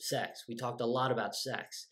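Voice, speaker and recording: Animated man telling stories at home. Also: normal speed. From United States. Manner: animated, gestures a lot, quotes his younger self with lively shifts in pitch. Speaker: playwright. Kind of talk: casual personal storytelling to camera. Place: home room with bookshelves and windows. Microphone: close webcam framing.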